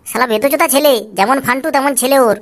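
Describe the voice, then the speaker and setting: A woman voicing an excuse-making, foul-mouthed male drinking buddy in a comic cartoon, hurried and slangy. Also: sits high. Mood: alarmed, grumbling. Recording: clear background noise.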